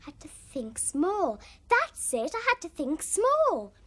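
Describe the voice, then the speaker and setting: A woman voicing a plucky, cheeky young boy in a cartoon, exclaiming brightly. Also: extremely high pitch, huge pitch swings, slow pace, full character voice. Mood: worried. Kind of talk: cartoon voice acting.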